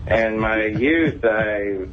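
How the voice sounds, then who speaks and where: Slow, muddled caller played by a man, muffled phone. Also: fairly low pitch. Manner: halting, repetitive, muddled. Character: slow, dim, rambling caller going in circles. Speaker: prank caller. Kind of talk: call-in prank call. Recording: muffled phone call, faint noise.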